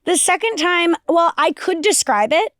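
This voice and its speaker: A young woman with a raspy voice co-hosting a casual podcast, rambling with restarts and lots of "like". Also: extremely high pitch, animated, normal speed, a bit bright, no echo, clean studio sound. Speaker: podcast co-host. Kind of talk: casual chat.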